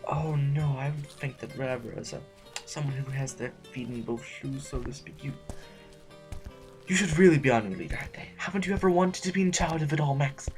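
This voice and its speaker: An actor playing a fussy, timid, snobbish aristocratic politician in an audio drama, wordy and pompous with a stammer. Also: male voice, mid-range voice, brisk, darkish tone, soft background music, natural voice. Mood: flattering, pompous.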